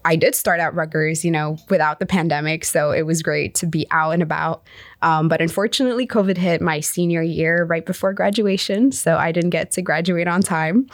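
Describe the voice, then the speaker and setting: Relaxed woman with a low, gravelly voice. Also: fairly quick, dry recording, studio quality. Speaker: graduate student guest. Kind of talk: casual podcast chat.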